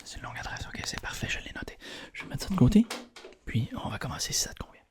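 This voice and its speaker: A man's voice: medium pitch, big pitch swings. Whispering Quebec French man, ASMR roleplay. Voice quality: breathy whisper. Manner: repeats words and phrases slowly and soothingly. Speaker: ASMR creator playing an attentive tailor.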